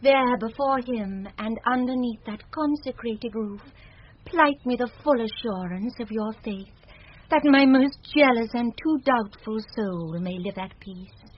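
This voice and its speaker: Lovestruck noblewoman, slightly high voice, formal and earnest, radio drama verse. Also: a little slow. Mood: urgent, tender. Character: noble lady in love, urgent, imperious bride-to-be. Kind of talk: 1970s BBC radio Shakespeare acting. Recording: muffled 1970s radio recording.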